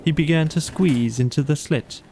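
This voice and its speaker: A man's voice: on the high side, normal speed, a little mellow, a little hiss or hum. A man narrating a children's bedtime story as an audiobook reader.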